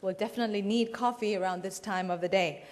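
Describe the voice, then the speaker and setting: A woman's voice: medium pitch, steady tone, quick pace, a little mellow. A woman acting as MC at a conference, making polished, scripted announcements with a light joke. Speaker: event MC. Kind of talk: formal announcing. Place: large conference hall. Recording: long hall reverb.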